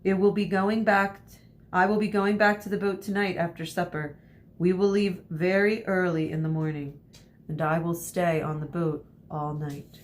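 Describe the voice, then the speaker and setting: A woman reading the part of a genial, measured fisherman uncle in a storybook. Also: little pitch movement, close to an everyday voice. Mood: matter-of-fact. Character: genial Danish fisherman uncle, teasing yet grave. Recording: noisy with low rumble.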